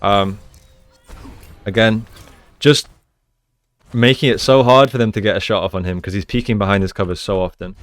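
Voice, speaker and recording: Male game coach, smooth lowish voice, explanatory and conversational, talking through gameplay analysis. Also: steady tone, moderate pace, pauses often, a bit bright, faint music behind. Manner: explanatory, conversational, often checks with "right?".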